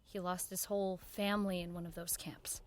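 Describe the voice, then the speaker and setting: A woman's voice: lowish voice, little pitch movement, a little fast, a little hiss or hum, close to an everyday voice. An actress playing an idealistic, determined woman who runs a 1940s radio network, speaking in an earnest, dignified, persuasive way in an audio drama. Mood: softly coaxing. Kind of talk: audio drama acting, dialogue and wistful first-person narration.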